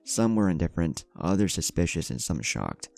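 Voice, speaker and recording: A man's voice: low voice, big pitch swings, normal speed, vocal fry, a little mellow. A man narrating a scripted fan fiction story, with character dialogue mixed in. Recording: background music under voice. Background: music.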